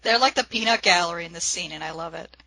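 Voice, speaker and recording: A woman's voice: on the low side, fast talker, sharp tone, low-quality recording. A woman co-hosting a podcast, chatting casually in an amused, enthusiastic manner. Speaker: podcast co-host. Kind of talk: casual chat.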